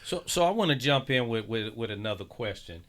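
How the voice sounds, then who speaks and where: A man's voice: medium pitch, animated, a little fast, a bit bright. A man hosting a casual interview, asking questions in an emphatic way. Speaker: host.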